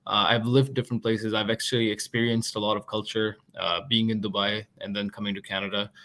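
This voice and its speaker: A man in his twenties, a podcast guest and product manager, talking in a relaxed, chatty way. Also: fairly low pitch, brisk. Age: 20s. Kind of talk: casual interview talk.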